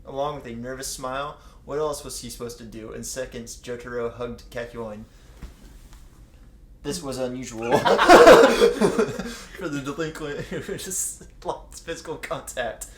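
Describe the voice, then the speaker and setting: A man's voice: medium pitch, unhurried. A man casually reading fan fiction aloud in a crude, jokey way, often breaking into banter. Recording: roomy echo, faint background noise.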